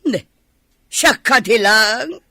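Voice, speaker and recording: A woman's voice: on the high side, animated, very slow, sharp tone, dry recording, clean studio sound, heavily acted. A woman with an Ilocano accent playing a haughty, well-off older mother in a radio drama, dismissive and doubting. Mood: questioning.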